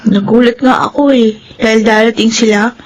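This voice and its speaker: A woman playing a grateful but hurt high-school girl in a radio drama. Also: steady tone, moderate pace, natural voice, rough recording. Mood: grateful, resigned.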